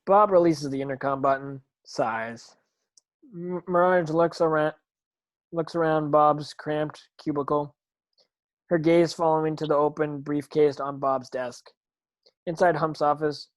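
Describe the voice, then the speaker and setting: Man narrating a script, stumbling a bit. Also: a little high. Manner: stumbling over words, rereading. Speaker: narrator reading stage directions. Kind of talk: scripted narration, amateur table read.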